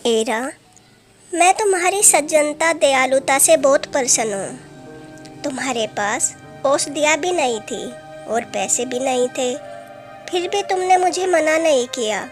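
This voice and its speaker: A woman's voice: high-pitched, expressive, a little slow, faint music behind. A woman voicing a kindly, benevolent magical fairy in a fairy tale, gracious and formal.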